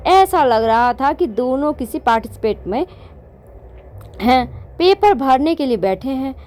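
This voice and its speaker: A woman's voice: fairly high pitch, darkish tone, slight background noise. A woman hosting and narrating a moral story in a conversational way, correcting herself as she goes. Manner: conversational, with restarts and self-corrections. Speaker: storyteller host.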